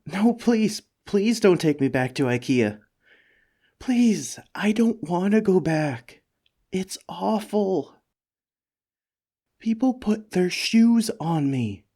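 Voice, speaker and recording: A man plays a timid mimic monster disguised as a couch, in a comedic ASMR roleplay. The voice sits a little high and moves very slowly, stammering and rambling. It pleads with the listener and puts itself down like a hapless, unwanted roommate. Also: expressive, warm tone, exaggerated voice. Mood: pleading. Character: anxious, self-deprecating mimic monster living as a talking couch.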